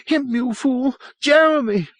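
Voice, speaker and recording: A man's voice: big pitch swings, moderate pace, slightly rough, dry recording, clean studio sound. A man voicing a frightened, snappish, hysterical woman in a piercing, agitated, breathless way for an audio story. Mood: frantic, gasping. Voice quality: piercing, shrill.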